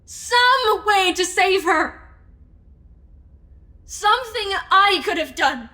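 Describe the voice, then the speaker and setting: Woman as an earnest, high bright-voiced investigator. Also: animated, slow, exaggerated voice. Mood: guilty, anguished. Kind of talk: supernatural comedy audio drama acting.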